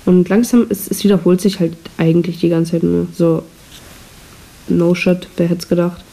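A woman's voice: on the low side, level tone, normal speed, vocal fry, warm tone. Teenage girl rambling into a hissy mic. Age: teens. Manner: rambling, self-deprecating, frequent restarts. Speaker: podcast host. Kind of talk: casual solo chat. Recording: noisy cheap microphone with hiss.

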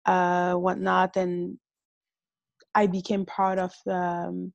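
Relaxed woman guest, dry sound. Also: fairly low pitch, creaky, dry recording, highs cut off. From Cameroon. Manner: relaxed, with frequent fillers and pauses. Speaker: podcast guest. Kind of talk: casual interview talk.

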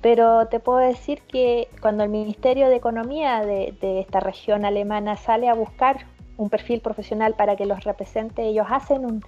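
A woman born in Chile and raised in Germany speaks in a calm, measured way, with a mellow tone that sits a little high. Her recording sounds slightly dull and lo-fi. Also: faint music behind. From Chile, raised in northern Germany. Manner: measured and composed, with small restarts. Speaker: guest, regional trade representative. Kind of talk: interview answers.

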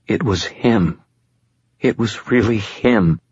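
A man voicing a frightened, injured pregnant young woman in an audiobook, tearful and halting, with a breathy voice. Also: mid-range voice, voice pushed up. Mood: terrified. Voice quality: breathy, whispering. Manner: halting, trembling. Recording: dull, roomy recording.

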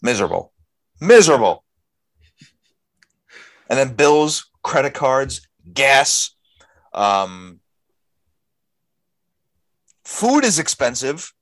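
A man's voice: medium pitch, very animated, very slow, pauses often, a bit bright. A young man co-hosting a casual podcast chat, talking bluntly and grumbling, with frequent swearing. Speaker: podcast co-host.

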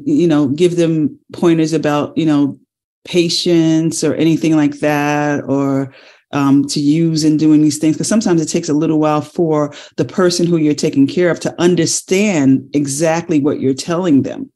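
A man's voice: on the high side, mellow. A man hosting an interview, asking long, rambling questions with searching restarts. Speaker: host.

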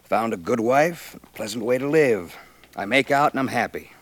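A man's voice: mid-range voice, animated, normal speed, lightly acted. A man playing an affable small-town newspaper editor in a 1950s Western radio drama, with an American accent and folksy, easygoing speech. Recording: vintage 1950s radio recording.

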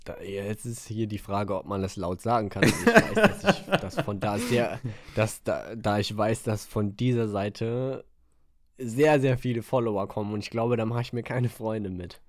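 A man's voice: on the low side, fairly even intonation, moderate pace, no room sound. A man co-hosting a podcast in casual chat, rambling and hesitant, often circling back with fillers. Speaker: podcast co-host.

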